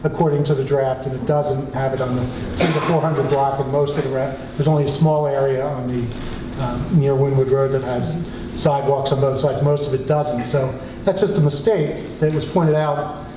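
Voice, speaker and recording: A man making measured, cautious remarks at a local council meeting. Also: mid-range voice, steady tone. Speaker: participant in a borough council meeting. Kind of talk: spoken remarks at a public meeting. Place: indoor council meeting room. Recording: very echoey room, clear background noise.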